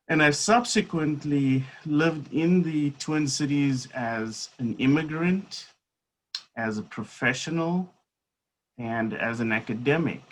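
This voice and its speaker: A man in his fifties from Africa, telling his personal story as a guest speaker in a measured, unhurried way with frequent pauses. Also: mid-range voice, rough recording. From South Africa. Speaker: guest speaker, seminary academic. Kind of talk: reflective personal storytelling in conversation.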